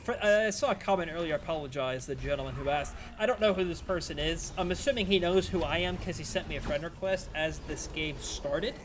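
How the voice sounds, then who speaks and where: Guy streaming a game, kinda high voice, hoarse from yelling, rambly chat, game noise behind. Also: brisk, hardly any pauses. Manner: rambling, chatty, answering chat between plays. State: strained, losing his voice. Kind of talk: casual live-stream gameplay commentary.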